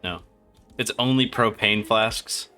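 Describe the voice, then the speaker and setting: A man's voice: fairly low pitch, slow pace, pushed and bright, soft background music. A man livestreaming a video game with casual, sweary, slangy chat and reactions. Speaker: livestream gamer host.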